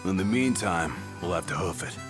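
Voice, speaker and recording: An actor voicing a gruff, blunt bodyguard in a video game, talking in a colloquial way. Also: male voice, low-pitched, expressive, moderate pace, over music. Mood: blunt, wry.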